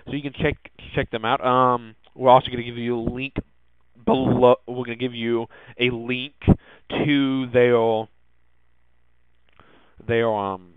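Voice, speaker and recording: A man hosting a podcast in a casual, hesitant way, often halting and repeating his words. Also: medium pitch, flat delivery, clear, resonant tone, no echo. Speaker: podcast host. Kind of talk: casual solo tech podcast talk. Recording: muffled, phone-like narrow-band audio.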